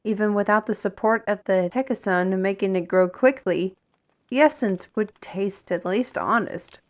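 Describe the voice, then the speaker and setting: Lady playing a dry wry fantasy courier woman, medium pitch, explains stuff like an audio drama. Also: normal speed, close to an everyday voice. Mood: matter-of-fact explaining.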